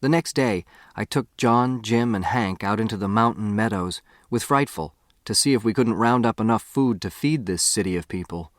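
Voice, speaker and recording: A man's voice: lowish voice, moderate pace, few pauses, no room sound, studio quality. A man reading a first-person novel aloud as an audiobook narrator.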